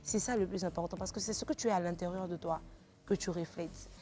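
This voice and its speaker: A woman's voice: fairly low pitch, steady tone, brisk, dark tone. A woman guest on a talk show speaks emphatically, giving heartfelt advice.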